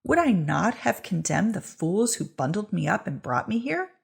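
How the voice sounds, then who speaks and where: A woman's voice: mid-range voice, big pitch swings, normal speed, creaky, breathy edge, exaggerated voice. A woman voicing a fierce, indignant accused witch with archaic, rhetorical speech in a storybook reading.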